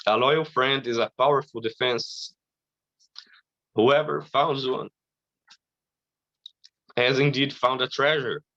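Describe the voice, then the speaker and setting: A man shares his faith story slowly and haltingly, with a bright, forward tone. His voice sounds close and dry but a little dull and lo-fi. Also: mid-range voice, steady tone, no room sound. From Brazil. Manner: slow, halting, earnest, with frequent "you know". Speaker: conference speaker giving testimony. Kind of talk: personal faith testimony.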